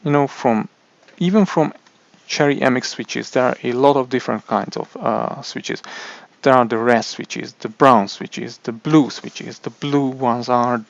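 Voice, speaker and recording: A man with a Hungarian accent, a native Hungarian speaker, explaining a product in a halting way with many restarts. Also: medium pitch, vocal fry, no room sound, highs cut off. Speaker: tech reviewer. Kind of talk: casual explainer monologue.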